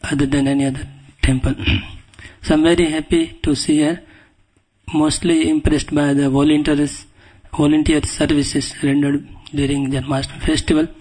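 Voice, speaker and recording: A man with an Indian accent giving a devotional scripture lecture as a guest preacher, speaking humbly and haltingly and often clearing his throat. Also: fairly high pitch, little pitch movement, darkish tone, poor audio. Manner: humble, deferential. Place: speaking at a temple.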